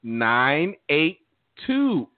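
A man's voice: big pitch swings, extremely slow. A man hosting a casual call-in talk show, often repeating words for emphasis. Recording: muffled low-bandwidth online call audio.

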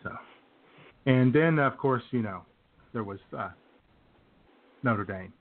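A man's voice: mid-range voice, lively intonation, slow pace. Man chatting sports over tinny phone-like audio. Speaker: co-host of a comedy radio show. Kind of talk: casual sports chat. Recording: tinny phone-like narrow band.

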